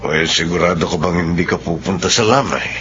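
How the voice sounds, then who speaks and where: Casting: man as sober trusted confidant, low voice, measured radio-drama delivery, slightly muffled sound. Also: background noise, natural voice. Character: level-headed male confidant advising an embittered woman. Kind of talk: Filipino radio drama acting.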